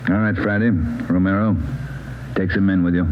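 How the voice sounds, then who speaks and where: A man playing a firm, measured senior police officer questioning a suspect in a 1940s radio drama. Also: deep voice, level tone, natural voice. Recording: muffled old radio recording, loud noise.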